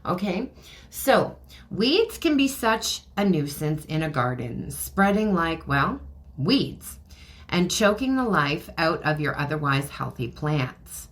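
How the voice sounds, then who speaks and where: Low-voiced, slightly hoarse woman host. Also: big pitch swings, takes it slowly. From Canada. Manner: chatty, laughs often, many ums. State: losing her voice, a bit hoarse. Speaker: podcast host and card reader. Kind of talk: casual oracle card reading podcast.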